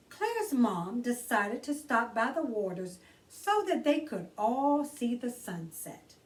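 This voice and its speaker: Woman, children's storytime host, higher-pitched voice, very lively intonation, theatrical read-aloud, recorded at a distance from the mic. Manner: theatrical, addresses child listeners directly.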